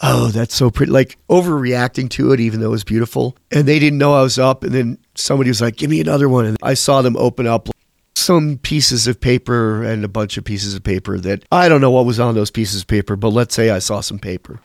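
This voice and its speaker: A man's voice: mid-range voice, brisk, creaky, no room sound, clean studio sound. Blunt rambling man telling stories. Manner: blunt, rambling, swears casually. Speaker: musician interviewee. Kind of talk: candid conversational storytelling.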